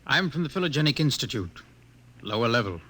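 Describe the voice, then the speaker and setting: Bitter witty outcast guy, 50s radio drama, crisp bright voice, dry sarcasm. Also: mid-range voice, sing-song, brisk. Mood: polite. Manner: dry, sardonic, articulate. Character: wry outcast male human specimen with twisted leg. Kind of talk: 1950s radio drama acting.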